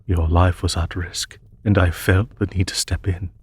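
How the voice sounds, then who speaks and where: A man playing a courteous, solemn demon emperor in a fantasy audio roleplay, speaking gently and formally with a husky voice to reassure the listener. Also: low voice, flat delivery. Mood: formal politeness. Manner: formal, measured, reassuring, polite phrasing.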